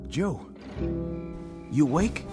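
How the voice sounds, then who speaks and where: An actor playing a desperate Confederate soldier, urging and pushing in an old-time radio drama. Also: male voice, a little high, lively intonation, slow pace. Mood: weary. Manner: urgent, pushing. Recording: old radio recording with music.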